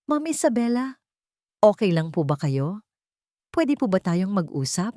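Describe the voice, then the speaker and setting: A woman's voice: medium pitch, big pitch swings, measured pace, warm tone, no echo, studio quality. A woman narrator voicing a worried young male driver, deferential and pleading, in a romance story.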